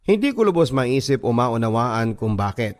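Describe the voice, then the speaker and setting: A radio host reading listeners' letters aloud in an earnest, measured storytelling manner with emphatic pauses. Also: male voice, medium pitch, big pitch swings, no room sound. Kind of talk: dramatic letter reading over music. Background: soft music bed.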